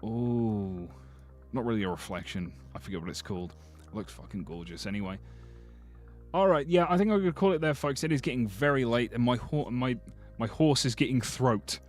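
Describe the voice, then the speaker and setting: A man with a slightly hoarse voice, rambling and joking freely as he chats through a livestream. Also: medium pitch, expressive, brisk, a little mellow, faint music behind. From Britain. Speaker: streamer. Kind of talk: casual livestream commentary.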